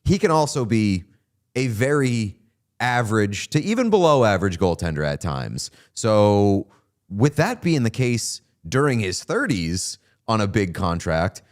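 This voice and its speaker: Low-voiced guy chatting hockey, voice jumps all over, says like a lot. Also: measured pace. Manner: animated, opinionated, frequent "like" fillers. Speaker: podcast co-host. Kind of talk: casual sports chat.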